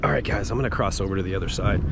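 A man's voice: lowish voice, rapid-fire, gravelly. A man in his forties chatting casually and loosely to an action camera held at arm's length while walking outdoors. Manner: chatty, upbeat, loose and rambling. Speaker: travel vlogger. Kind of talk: casual walk-and-talk vlog. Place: busy city streets and an empty riverside boulevard, outdoors, hot day. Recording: loud outdoor street noise.

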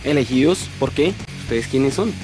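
Male musician guest, thirties, Colombian accent, medium pitch, close studio mic with music behind. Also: expressive, moderate pace. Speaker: band bassist, guest. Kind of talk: answering questions in a radio skit. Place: dark radio studio. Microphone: close broadcast microphone.

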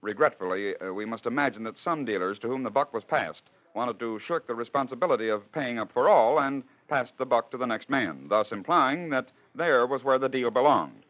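A man's voice: medium pitch, lively intonation, brisk, few pauses. A man hosting and narrating in scripted 1940s radio style, with a rhetorical storytelling manner. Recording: dull old radio broadcast.

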